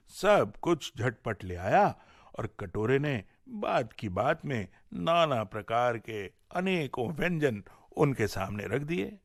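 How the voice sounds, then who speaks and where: A man with an Indian accent tells a folk tale for radio. His pitch moves widely and expressively through each sentence, and the recording is close and dry. The delivery is scripted and dramatic. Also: dry recording. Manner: dramatic, animated storytelling delivery. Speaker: storyteller and presenter on a radio show.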